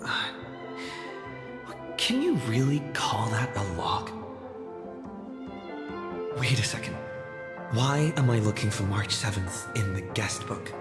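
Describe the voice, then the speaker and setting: A man's voice: on the low side, sing-song, takes it slowly, pauses often, loud music behind the voice. A man playing a bewildered but determined young traveler in an anime game, speaking in hesitant, pensive monologue. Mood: puzzled, uneasy.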